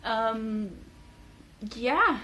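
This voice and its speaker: A young Dutch woman, a native Dutch speaker, chatting casually in a vlog with many fillers and thoughtful pauses. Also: a little high, lively intonation, bright, forceful tone, a little hiss or hum, poor audio. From Netherlands. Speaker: book channel host. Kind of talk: casual talking-head vlog.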